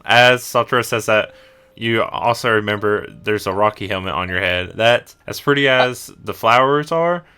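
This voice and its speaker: A man's voice: fairly low pitch, moderate pace, pushed and bright, clear, resonant tone. A man narrating an improvised tabletop roleplay as the game master.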